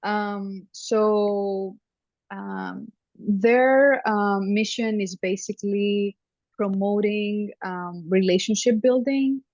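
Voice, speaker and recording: A woman's voice: medium pitch, steady tone, very slow, no room sound. Greek-born woman, chatty with many ums. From Greece. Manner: warm, chatty, many ums and soft laughs. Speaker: family therapy professor, guest. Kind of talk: conversational interview. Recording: dry, dull lo-fi sound.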